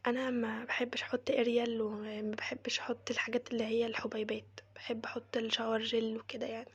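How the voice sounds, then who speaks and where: A woman with an Egyptian Arabic accent and a cold, talking through a casual how-to tutorial in a soft, mostly whispered, chatty way. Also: a little high, flat delivery, fast, slightly rough, muffled recording. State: has a cold, voice changed. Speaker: crochet maker demonstrating cleaning.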